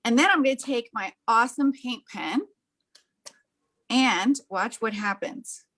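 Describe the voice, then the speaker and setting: Woman, fairly high voice, art demonstrator, chatty and gushing, casual how-to delivery. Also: unhurried, fairly bright tone. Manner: enthusiastic, gushing. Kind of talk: casual hands-on craft demonstration.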